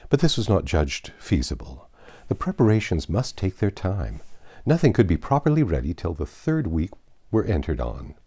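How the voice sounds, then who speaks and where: Deep voiced guy reading an old novel out loud, measured and formal, really relaxed dark tone. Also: slightly rough. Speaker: audiobook narrator. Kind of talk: expressive literary reading aloud.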